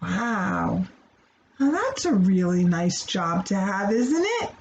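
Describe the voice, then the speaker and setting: A woman reading a picture book aloud to children in an expressive, playful way, with asides to her young listeners. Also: medium pitch, takes it slowly, lo-fi sound, slight background noise. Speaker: children's storybook reader.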